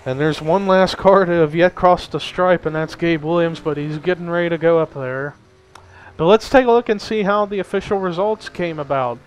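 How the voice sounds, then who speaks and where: A man's voice: fairly high pitch, moderate pace, rough recording. A young man giving casual play-by-play commentary on a simulated race, chatty and lifting his energy at the big moments. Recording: faint background noise.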